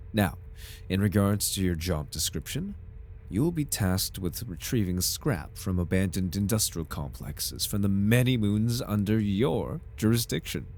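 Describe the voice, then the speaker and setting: A man's voice: lowish voice, a little mellow. A man playing a suave, over-polite corporate recruiter in an ASMR roleplay, with a formal, unctuous salesman's patter. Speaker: voice actor in an audio roleplay. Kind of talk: ASMR roleplay, scripted in-character monologue.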